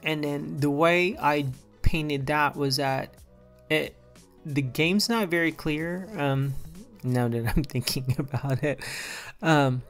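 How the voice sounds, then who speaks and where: A man in his forties who grew up in the Philippines, casually narrating his own painting timelapse with a relaxed, rambling delivery full of fillers. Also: on the high side, takes it slowly, soft background music. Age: 40s. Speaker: digital artist.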